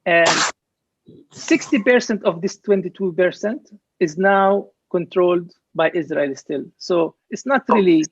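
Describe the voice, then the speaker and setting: Man from Palestine, gravelly voice, higher pitch, dull lo-fi video call audio, dry sound. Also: unhurried, no room sound. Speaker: presenter. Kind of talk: online conference presentation.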